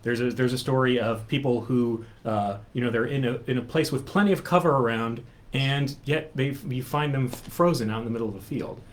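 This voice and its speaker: A man's voice: medium pitch, fairly quick, few pauses. Reflective male co-host, mid-range voice. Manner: reflective, rambling with restarts and "right?". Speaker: music teacher, co-host. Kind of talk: casual chat, personal story.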